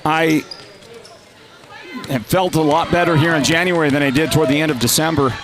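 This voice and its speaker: A man's voice: on the high side, fairly even intonation, a little fast, background noise. Congested nasal man calling basketball. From Northern Arizona. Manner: chatty, rises to loud calls on big plays. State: congested from a lingering cold. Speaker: play-by-play announcer. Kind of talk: live basketball commentary.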